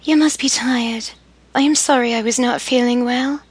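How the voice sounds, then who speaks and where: A woman voicing Emily, an anxious, outspoken young woman, in a soft, breathless, higher voice while reading a story. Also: steady tone, normal speed, husky, no room sound.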